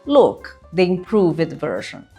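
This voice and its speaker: A woman with a Brazilian accent speaks in a somewhat low, unhurried voice and talks with deliberate pauses. Her native tongue is Portuguese, and she coaches in an earnest, emphatic way. Soft music plays quietly underneath. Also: big pitch swings, vocal fry, slightly rough. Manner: earnest, emphatic, checks in with "right?". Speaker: interview coach. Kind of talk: instructional video talk.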